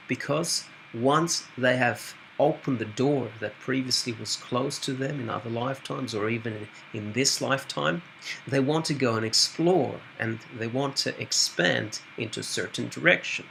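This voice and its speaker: Calm Swiss man, gravelly voice. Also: medium pitch, slight background noise, rough recording. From Switzerland. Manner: calm, measured, slightly hesitant with fillers. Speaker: spiritual medium promoting his services. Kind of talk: direct-to-camera self-introduction.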